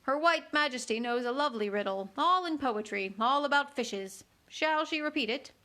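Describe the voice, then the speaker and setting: A woman voicing a bossy, imperious queen who barks sharp orders, reading a storybook aloud. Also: high voice, lively intonation, moderate pace. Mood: solemn. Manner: sharp, commanding, loud orders.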